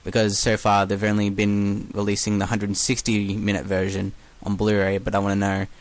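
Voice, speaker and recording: Young aussie guy with americanized accent, lowish flat voice, casual halting listener message. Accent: Australian softened toward American. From Australia. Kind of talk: casual recorded question.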